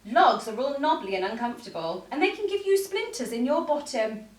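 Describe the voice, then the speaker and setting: A woman's voice: high-pitched, sing-song, fairly quick, roomy sound, far from the mic, voice pushed up. A woman voicing a plaintive, curious little frog from a children's picture book, asking in eager, pleading questions.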